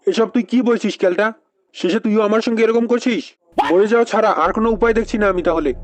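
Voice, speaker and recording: A man's voice: sits high, quick pace. A man voicing outraged, blustering village men in a comedy cartoon, speaking dramatically. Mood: dismayed.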